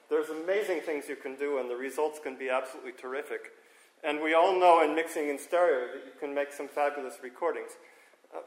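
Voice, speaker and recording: A man speaks into a microphone before a live audience in a lecture room, his voice a touch higher than most. He talks informally and corrects himself as he explains, pausing to ask "okay?" to keep the room with him. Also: fairly bright tone, reverberant, slight background noise, low-quality recording. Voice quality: strong speaking voice. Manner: informal, self-correcting, frequent "okay?" checks. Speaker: conference speaker. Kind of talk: technical lecture on hearing and audio. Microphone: handheld or stand microphone.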